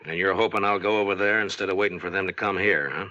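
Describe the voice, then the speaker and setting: An actor playing a firm, unflappable frontier marshal, terse and dry, in an old-time radio western. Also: male voice, deep voice, steady tone, quick pace, bright, forceful tone. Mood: dry, steady. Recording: noisy, muffled old-time radio recording.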